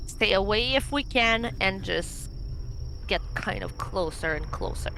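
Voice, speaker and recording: A woman's voice: mid-range voice, lively intonation, measured pace, vocal fry, sharp tone, a little hiss or hum, rough recording. A woman playing a tabletop roleplaying game, casually working out moves with the group.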